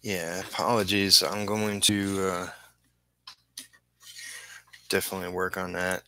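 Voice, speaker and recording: A man chatting casually on a livestream as an artist, halting and apologetic, often muttering to himself. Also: sits low, level tone, sharp tone, dry recording, poor audio. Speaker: comic book inker livestreaming his work.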